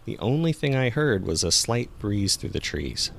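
A man's voice: lowish voice, big pitch swings, moderate pace, creaky, a little hiss or hum. A man hosting and narrating a podcast, reading from a script.

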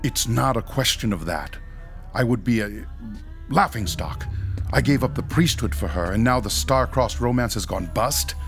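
A man playing a proud, dignified former priest who leads ghost hunters in a radio horror drama, speaking in a formal, measured way. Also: mid-range voice, animated, vocal fry, fairly bright tone, faint music behind. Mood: troubled, defensive.

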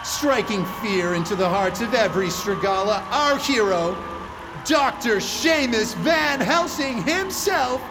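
Flippant male stage emcee, high animated voice, slow showman delivery, glib hype, audio drama. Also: music in the background, poor audio. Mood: hyped. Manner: announcer hype, glib and jokey. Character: showy, flippant male fan-convention panel host.

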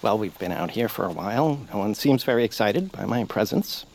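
A man's voice: on the low side, animated, a little fast, vocal fry, darkish tone, slight background noise, rough recording. An actor playing a timid, self-doubting radio actor who is nothing like his heroic role, speaking meekly with wavering words. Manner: meek, self-deprecating, wavering. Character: timid male radio actor, gentle, fussy. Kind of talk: audio drama acting.

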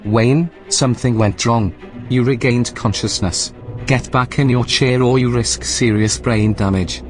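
Man playing a male station AI, calm, clinical, formal, measured, polite, sci-fi audio drama, music underneath. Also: mid-range voice, lightly acted. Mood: urgent, commanding. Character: calm, clinical male artificial intelligence running a research station. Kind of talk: science-fiction audio drama acting.

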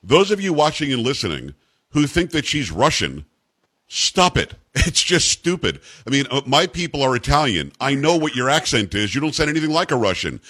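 A man's voice: medium pitch, very animated, normal speed, fairly bright tone, husky. Male radio host, plain middle voice, chatty and opinionated. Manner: conversational and opinionated. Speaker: radio talk show host. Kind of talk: talk radio interview.

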